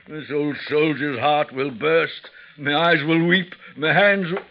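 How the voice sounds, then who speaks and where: An actor playing a fawning, effusive old soldier at a gambling table in a 1940s radio drama, oily and flattering. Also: male voice, a little high, slow pace. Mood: effusive, sentimental. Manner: oily, flattering, theatrical, chuckling. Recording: muffled vintage 1940s radio recording.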